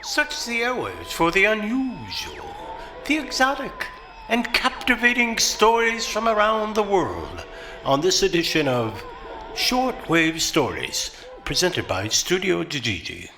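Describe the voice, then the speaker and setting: Male show announcer, high bright voice, dramatic scripted delivery, faint noise behind. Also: huge pitch swings, a little slow. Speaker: programme announcer. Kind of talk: theatrical scripted radio show intro.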